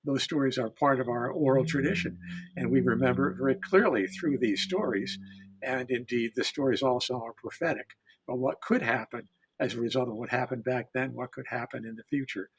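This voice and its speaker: Man, husky mid-pitched voice, emphatic and deliberate oral storyteller in a panel setting. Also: poor audio.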